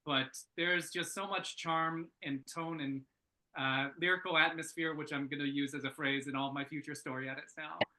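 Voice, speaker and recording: A man working as a story editor, giving thoughtful spoken feedback in a panel discussion with tentative pauses. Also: on the high side, fairly even intonation, pushed and bright, rough recording.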